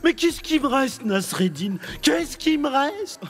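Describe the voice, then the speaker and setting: A man's voice: extremely high pitch, big pitch swings, slow, faint music behind, full character voice. A man voicing a vain, gloomy, plump sultan who whines with self-pity in a children's cartoon. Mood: despairing. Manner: whiny, self-pitying. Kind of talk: French children's cartoon dubbing.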